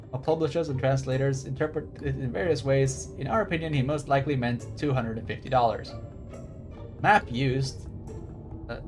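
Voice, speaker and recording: A man streaming a game, reading text aloud and adding wry, joking asides in a casual commentary style. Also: mid-range voice.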